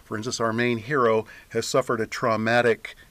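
Medium-pitched man explaining as a guest. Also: little pitch movement, moderate pace, a bit bright, no echo.

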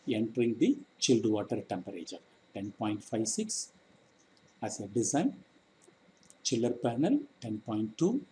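A man giving a technical slide lecture, reading aloud in short, halting chunks as a trainer. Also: medium pitch, dark tone, a little hiss or hum, low-quality recording. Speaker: engineering trainer.

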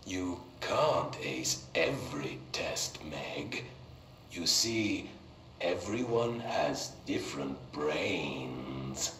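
A man's voice: low voice, animated, very slow, fairly bright tone, exaggerated voice. A man playing an avuncular puppet-show host uncle, explaining things to a child in a patronizing singsong. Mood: patronizing cheer. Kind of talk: children's educational TV show acting. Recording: echoey room with faint noise.

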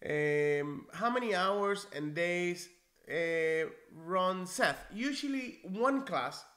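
A man from Cuba in his forties with a bright, slightly raised voice, talking through viewer questions like a friendly, encouraging teacher. He sits at his desk in a small office, caught on a webcam mic. The room rings with echo. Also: on the high side. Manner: friendly, encouraging, conversational with restarts. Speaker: NCLEX prep instructor. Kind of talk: live-stream Q&A, reading viewer comments. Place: small indoor office, seated in leather chair.

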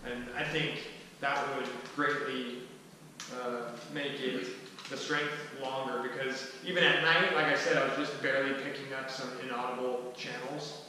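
A man in his thirties gives an informal talk to a small group, casual and halting as he thinks aloud. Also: medium pitch, flat delivery, bright, forceful tone. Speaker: presenter at a skillshare. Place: large wooden-floored hall, indoors. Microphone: distant camera microphone. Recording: echoey hall from far off, faint noise.

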